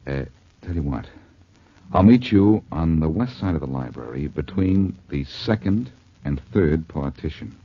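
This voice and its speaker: An American actor playing a glib, teasing, cool-headed amateur detective in a 1940s radio crime drama. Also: male voice, very deep voice, lively intonation, measured pace, exaggerated voice. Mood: feigned casualness. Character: wisecracking, cool-headed male amateur detective accused of murder. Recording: dull 1940s radio broadcast recording.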